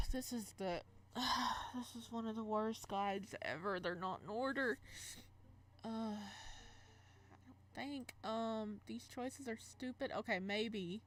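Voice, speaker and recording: A woman reading a visual novel aloud and commenting casually as she plays, expressive and reacting out loud, sometimes stumbling over the text. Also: on the high side, takes it slowly, slight background noise. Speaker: game streamer, let's player.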